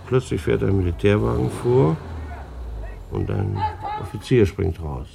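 A touchy bailiff from a radio drama, with a fairly low voice and a gravelly creak. He is officious and defensive, stumbling over his words as he stands on his dignity. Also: lively intonation, unhurried, noisy background, exaggerated voice. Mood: reflective storytelling. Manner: stammering, affronted, officious. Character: indignant German bailiff, a defensive petty official telling his past. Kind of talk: German radio play acting with first-person narration.

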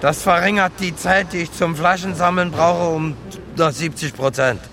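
Earnest homeless man, radio drama; slightly high, plain colloquial voice, clear traffic noise outdoors. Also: steady tone, normal speed, fairly bright tone. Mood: grateful. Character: earnest homeless man chasing his dream, grateful. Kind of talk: radio drama acting, interview-like. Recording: noisy outdoor urban street, traffic. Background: vehicle and street noise.

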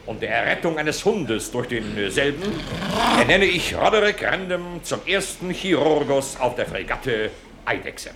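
An actor playing a pompous, self-satisfied admiral who speaks ceremoniously in a radio play. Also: male voice, on the high side, very noisy. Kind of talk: German radio play acting.

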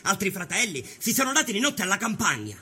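High-pitched, urgent poor miner. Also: male voice, huge pitch swings, fast, pushed and bright, breathy edge, heavily acted. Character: poor Sicilian sulphur miner, earnest, aggrieved striker. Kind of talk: dramatised documentary voice acting.

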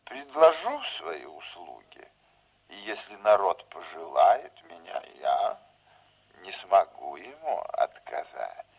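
Old folksy politician in a radio play, deep-ish, insinuating, takes his time, dull sound. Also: very animated, gravelly, slightly rough, full character voice. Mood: smooth, paternal. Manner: unhurried, avuncular, insinuating. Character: elderly folksy, scheming veteran male politician. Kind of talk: Soviet-style radio play acting.

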